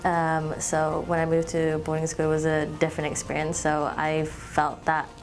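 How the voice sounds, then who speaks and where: A woman in her twenties from Malaysia, a student talking in an interview, relaxed, with many ums and restarts. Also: low-pitched, normal speed, soft background music, low-quality recording. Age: 20s. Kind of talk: interview testimonial.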